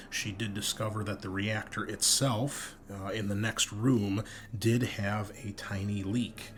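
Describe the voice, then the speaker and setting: A man with a low voice narrates a tabletop roleplaying game as its game master. His storytelling is measured and partly improvised. There is clear noise behind his voice. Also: fairly bright tone.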